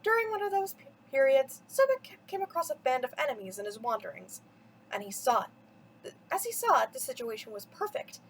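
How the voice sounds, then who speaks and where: A woman's voice: high voice, big pitch swings, fairly quick, a little hiss or hum. A woman reading a mythology story aloud for children as a storyteller, with dramatic, theatrical emphasis. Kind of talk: expressive bedtime story read-aloud.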